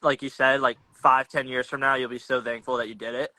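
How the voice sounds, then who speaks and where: Laid-back young man hosting, bright and dry. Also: medium pitch, level tone, a little fast, no echo, rough recording. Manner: laid-back, slangy, rambling with restarts. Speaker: podcast host. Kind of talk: casual chat.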